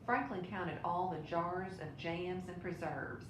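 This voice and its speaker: Woman reading a picture book out loud, expressive, echoey room w rumbly noise behind. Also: unhurried. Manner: expressive, with brief asides to listeners. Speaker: storybook reader. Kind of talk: children's picture book read-aloud. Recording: roomy echo, clear low rumbling noise.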